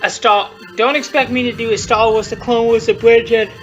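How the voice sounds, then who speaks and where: A person giving rambling, unscripted commentary on their own video, halting and repeating themselves, with blunt, self-deprecating swearing. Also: medium pitch, flat delivery. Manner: halting, repetitive, often restarting sentences. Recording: muffled lo-fi audio, music behind.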